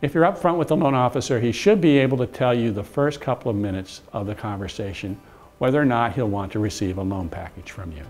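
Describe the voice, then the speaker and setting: A man's voice: mid-range voice, animated, fast talker, a little mellow, soft background music. A man delivering scripted instructional narration in a businesslike, direct way, emphasising his advice as a presenter. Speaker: presenter, commercial mortgage broker giving tips.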